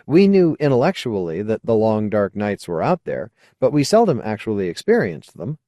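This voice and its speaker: A man's voice: fairly low pitch, big pitch swings, moderate pace, warm tone, no room sound, clean studio sound. A man voicing a wary, awkward, questioning male character in an audiobook. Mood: skeptical. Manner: hesitant, questioning. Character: wary, awkward man, skeptical former idealist.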